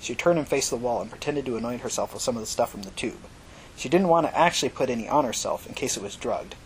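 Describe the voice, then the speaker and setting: A man narrating dramatic fiction as an audiobook reader. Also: quick pace. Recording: noisy recording with low rumble.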